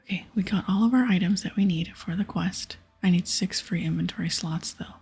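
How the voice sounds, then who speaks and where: Very breathy, crackly whispering woman. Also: lowish voice, fairly quick. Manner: soft-spoken, casual thinking aloud. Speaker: ASMR gaming channel host. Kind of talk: whispered ASMR game commentary. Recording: soft music behind.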